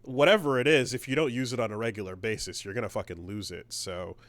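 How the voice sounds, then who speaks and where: A man co-hosting a podcast in casual chat, rambling and animated, swearing freely. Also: on the low side, fast talker, dry recording. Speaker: podcast co-host.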